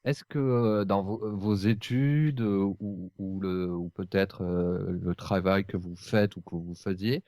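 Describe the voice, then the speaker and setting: A man with a deep voice hosts a casual interview. He puts his questions haltingly and in a roundabout way, recorded close and dry. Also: fairly even intonation, mellow, dry recording. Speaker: podcast interviewer, host.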